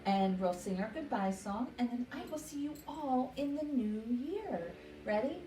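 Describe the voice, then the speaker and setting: A woman's voice: a little high, expressive, measured pace, dark tone, slight background noise. A woman hosting a children's story time, giving simple, encouraging instructions and questions to young children. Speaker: library story time host. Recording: roomy echo, distant microphone.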